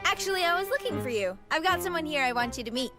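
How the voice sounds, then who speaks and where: A woman's voice: sits high, lively intonation, quick pace. An actress in an anime dub playing a bossy, no-nonsense younger woman with a short temper. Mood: brisk. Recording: music behind voice.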